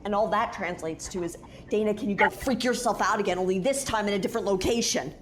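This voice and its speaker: A woman's voice: mid-range voice, fast, a crowd in the background, lightly acted. A woman playing a nervous, sometimes sarcastic young space explorer in an audio drama, hesitant and uneasy in her delivery. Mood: sardonic.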